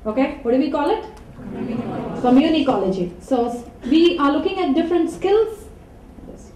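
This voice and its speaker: A middle-aged woman with an Indian English accent, a communication trainer giving an animated lecture to students and often asking them questions. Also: sits high, brisk, background noise. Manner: animated, asks the audience rhetorical questions. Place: large lecture hall, before a student audience. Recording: echoey hall, mic set far off.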